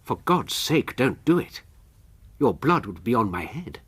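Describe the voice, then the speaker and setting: Radio drama: an ingratiating ex-schoolmaster, emphatic and swooping, slightly rough-voiced, firm underneath. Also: male voice, mid-range voice, very animated, a little slow, fairly bright tone, heavily acted. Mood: earnest. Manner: emphatic, polite with a firm edge. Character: excitable naturalist and former schoolmaster, controlling, ingratiating.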